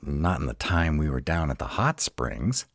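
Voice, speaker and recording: A man playing a gruff, protective, uptight male were-dragon in an intimate roleplay audio drama, halting and dramatic. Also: rumbling low, sing-song, no echo, studio quality, heavily acted. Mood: agitated, incredulous. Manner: halting, dramatic, slow delivery with emphatic outbursts.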